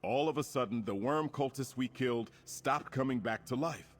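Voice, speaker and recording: An actor playing a solemn, loyal warrior comrade in a fantasy game, with a husky voice and formal speech. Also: male voice, mid-range voice, normal speed, lightly acted. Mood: earnest, grateful. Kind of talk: fantasy video game dialogue.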